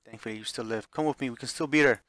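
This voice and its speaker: A man voicing a fiery, desperate visual novel heroine who scolds loudly and urgently. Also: medium pitch, rapid-fire, dry recording, close to an everyday voice. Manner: loud, urgent, insulting.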